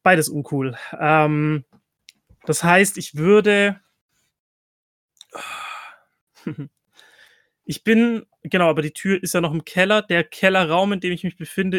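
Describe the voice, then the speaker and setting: Höher klingender Mann, laut denkender Rollenspieler. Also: male voice, on the high side, fairly bright tone, dry recording, studio quality. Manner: thinking aloud, mixing in English game terms. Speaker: tabletop game player. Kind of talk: casual game-table chat.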